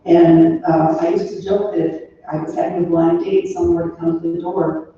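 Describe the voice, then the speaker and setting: A woman's voice: lowish voice, flat delivery, moderate pace, mellow. A woman in her sixties giving an informal, rambling remembrance full of anecdotes and trailing asides, recorded from a distance in a roomy space. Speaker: daughter giving a remembrance at a funeral. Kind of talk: informal spoken eulogy, reminiscing. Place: echoey room, away from the microphone. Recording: roomy, distant, muffled recording with faint noise.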